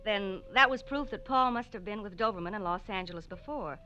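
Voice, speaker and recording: A woman's voice: mid-range voice, a little fast, sharp tone, slight background noise, close to an everyday voice. A woman playing an earnest wife caught in intrigue, in 1940s radio drama style. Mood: earnest, relieved. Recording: dull vintage radio recording.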